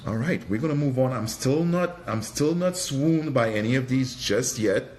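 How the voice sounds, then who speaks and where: A man's voice: mid-range voice, animated, moderate pace, darkish tone, soft background music. A man from Trinidad giving a casual live product review, thinking aloud in a hesitant, musing way and often repeating his phrases. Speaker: fragrance reviewer and livestream host.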